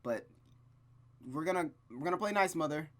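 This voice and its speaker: Expressive, rambling game commentator, mid-range pitch. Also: normal speed. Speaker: gaming video host. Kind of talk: casual game commentary and read-aloud narration.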